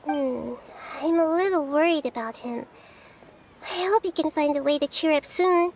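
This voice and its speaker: A woman voicing a small, curious childlike goddess in a video game, soft and thoughtful in manner. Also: high-pitched, normal speed, slight background noise. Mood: concerned. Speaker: game character.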